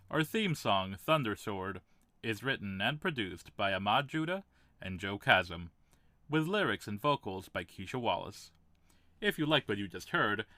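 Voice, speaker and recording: A man's voice: on the low side, pushed and bright, no echo. A man reading scripted podcast credits as an announcer.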